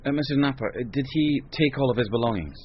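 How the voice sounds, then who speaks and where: An actor playing a patient British police sergeant in a radio drama, polite and a little hesitant. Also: male voice, mid-range voice, fast, husky, lightly acted. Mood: awkward, polite. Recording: muffled radio recording, faint noise.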